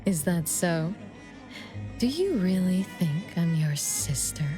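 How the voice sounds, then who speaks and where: An actress playing an enigmatic shadow of an older sister in a video game, measured, knowing and gently condescending. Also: female voice, fairly low pitch, sing-song, music in the background. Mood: knowing, taunting.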